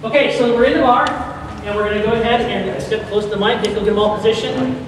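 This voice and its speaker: A man's voice: high voice, fairly quick, recorded from a distance. A man informally directing and presenting to a live audience at a convention, in an echoey, noisy hall. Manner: informal, instructing. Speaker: audio drama producer directing and presenting.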